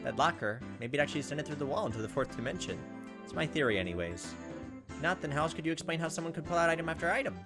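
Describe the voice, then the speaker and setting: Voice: mid-range voice, lively intonation, rapid-fire. Casual let's player with game music behind. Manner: reacts with asides to the story. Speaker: let's player reading a visual novel aloud. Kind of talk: casual gameplay commentary mixed with narration read aloud.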